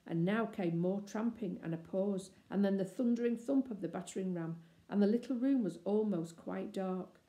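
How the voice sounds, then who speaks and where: A woman narrates a children's story expressively. Her voice is mid-pitched and very mellow, recorded with a clear roomy echo. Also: moderate pace. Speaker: storybook reader. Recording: echoey, roomy sound.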